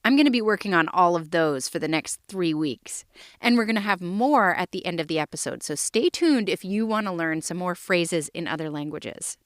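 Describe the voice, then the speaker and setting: A woman hosting a children's podcast, introducing guests and explaining ideas to young listeners in a friendly, lively way. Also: on the low side, normal speed, no room sound, studio quality. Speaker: podcast host. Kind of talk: scripted kids' podcast narration.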